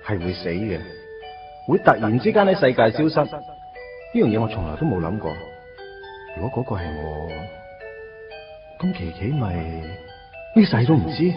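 Lovesick Cantonese schoolboy, animated delivery. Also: male voice, on the low side. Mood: troubled, earnest. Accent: Hong Kong Cantonese. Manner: colloquial, confiding, wry. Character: lovesick teenage schoolboy, self-deprecating, wistfully narrating first love. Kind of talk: radio drama narration and dialogue. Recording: dull lo-fi radio recording with music.

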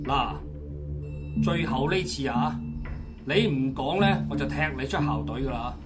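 A man's voice: medium pitch, animated, unhurried, natural voice. A man with a Hong Kong Cantonese accent playing a stern, exasperated school football coach in a radio drama. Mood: stern scolding. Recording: music behind the voice.

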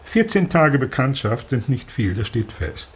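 Husky, deadpan teasing husband. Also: male voice, mid-range voice, heavily acted. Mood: deadpan sly. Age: middle-aged. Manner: deadpan, sardonic, measured. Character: dry, teasing middle-aged husband and father, country gentleman. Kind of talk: period radio drama acting.

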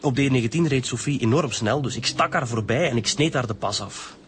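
An actor playing a smooth-talking advertising boss questioned by police in a radio drama, with a Flemish accent. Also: male voice, mid-range voice, fairly quick, lightly acted. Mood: chatty, explaining. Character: smooth advertising boss pleading innocence to detectives. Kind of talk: naturalistic radio drama acting.

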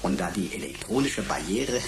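Mocking computer sidekick for radio sci-fi: florid, pompous, very animated, noisy track. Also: male voice, deep voice, moderate pace. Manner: pompous, mocking, ornate diction. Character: cheeky, pompous male computer sidekick with florid old-fashioned speech. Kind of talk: radio play acting.

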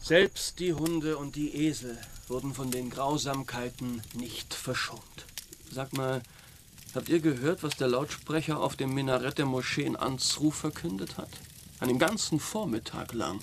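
Ein Schauspieler spielt im Hörspiel einen frommen, verfressenen Weggefährten mit knarzender Stimme. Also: male voice, mid-range voice, unhurried, slight background noise, lightly acted. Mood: confiding. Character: pious, gluttonous male fellow searcher savouring feasts. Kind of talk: radio drama dialogue.

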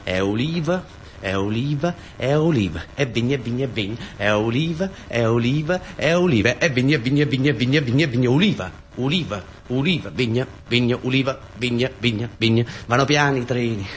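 A man's voice: mid-range voice, lively intonation, fairly quick, a little hiss or hum. A man with a southern Italian accent performs a narrative monologue, repeating short phrases in an incantatory rhythm. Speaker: actor and storyteller in a radio theatre monologue.

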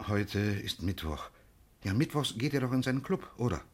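An actor playing an anxious, pleading pharmacist in a secret affair, in a 1960s German radio crime play. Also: male voice, fairly low pitch, animated, normal speed, a little hiss or hum, natural voice. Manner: urgent, pleading, hushed on the phone.

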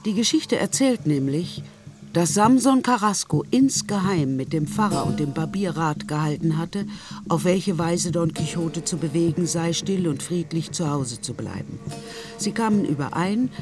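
Ein Mann erzählt als literarischer Erzähler eine Geschichte in einem Hörspiel, nach geschriebenem Text. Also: male voice, fairly high pitch, animated, normal speed, music in the background. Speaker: narrator. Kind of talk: literary scripted narration in a radio play.

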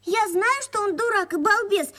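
A woman's voice: very high-pitched, moderate pace, bright, forceful tone. An actress playing a chatty, bossy young schoolgirl in a children's radio play, pert and know-it-all.

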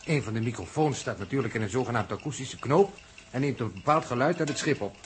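An actor playing a logical, self-assured spaceship technician in a 1960s Dutch radio drama, explaining in a Netherlands accent. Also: male voice, medium pitch, expressive, fast talker, natural voice. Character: confident, know-it-all male spaceship technician, logical and unbothered. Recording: noisy 1960s radio recording.

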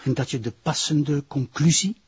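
An actor playing a stern public prosecutor with a Flemish accent in a television crime drama, formal, sardonic and reprimanding. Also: male voice, fairly high pitch, normal speed, heavy background noise, close to an everyday voice. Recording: dull, muffled television audio.

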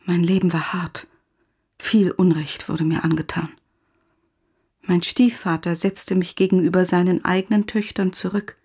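A woman playing a lonely, wounded teacher in a radio play, self-pitying and formal, with a husky, breathy voice. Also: fairly low pitch, fairly even intonation, unhurried, a little mellow. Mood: bitter, sorrowful. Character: lonely, wounded woman teacher composing her farewell letter. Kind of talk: German radio play monologue.

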